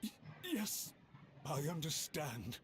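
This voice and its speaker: An actor playing an anxious, deferential government envoy in a video game, formal and hesitant. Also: male voice, fairly high pitch, wildly swooping pitch, slow, heavy background noise, full character voice. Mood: cowed, grateful.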